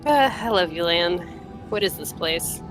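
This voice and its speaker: A woman's voice: fairly low pitch, lively intonation, a little slow, rough recording. A woman hosting a game playthrough with casual, joking, sweary commentary. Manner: irreverent, sweary. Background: game music.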